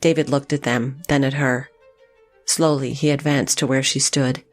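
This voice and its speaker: A woman's voice: extremely low pitch, steady tone, normal speed, faint music behind. A woman narrating a literary story in an audio drama, reading from a script.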